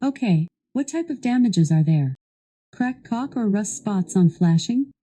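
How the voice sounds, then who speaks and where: Very mellow, dark-voiced female assistant. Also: medium pitch, expressive, unhurried. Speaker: voice assistant guiding a product demo. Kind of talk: scripted instructional narration.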